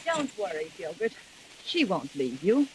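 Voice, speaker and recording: An actress playing a jealous, vengeful wife driven to madness in melodramatic 1940s radio mystery style. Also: female voice, fairly low pitch, sing-song, unhurried, a little mellow, a little hiss or hum. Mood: cold menace. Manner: melodramatic, menacing. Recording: old muffled 1940s radio recording.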